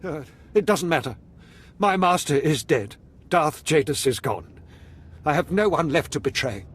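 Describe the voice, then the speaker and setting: A paranoid old man for a video game, with a raspy, rather high voice. He is fearful and theatrical, speaking like someone hunted. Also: lively intonation, unhurried. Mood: bitter, resigned. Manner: panicked and theatrical. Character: frightened, paranoid old man, former advisor to a dark lord.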